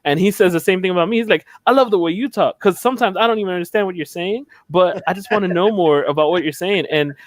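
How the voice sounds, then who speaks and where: A man's voice: high-pitched, quick pace, hardly any pauses, no room sound. A young man from Philadelphia, a panel guest in casual chat on a video call from home, rambling and losing his train of thought. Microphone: headset.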